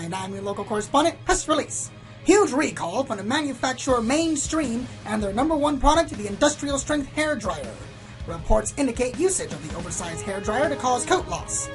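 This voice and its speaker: A woman playing a brisk, formal pony news correspondent in a radio play newscast. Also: a little high, close to an everyday voice, rough recording.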